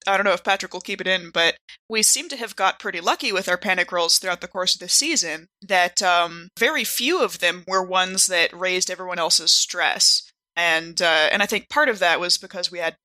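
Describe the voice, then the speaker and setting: Very bright-voiced woman in casual podcast chat. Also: on the low side, normal speed, dry recording. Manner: informal, sprinkles speech with "like". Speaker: podcast cast member and player.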